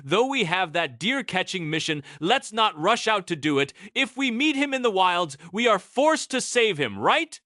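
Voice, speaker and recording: A man's voice: high-pitched, very animated, moderate pace, few pauses, pushed and bright, no room sound, studio quality, voice pushed up, exaggerated voice. A man voicing a sly, jeering young squad member in a fantasy audiobook. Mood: mocking, amused. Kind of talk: audiobook character acting.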